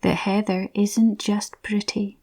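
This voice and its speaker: Soft, slow, mellow woman guiding relaxation. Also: mid-range voice, little pitch movement. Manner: soft, breathy, near-whispered, soothing delivery. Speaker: guided relaxation narrator. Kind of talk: slow guided visualisation, scripted narration.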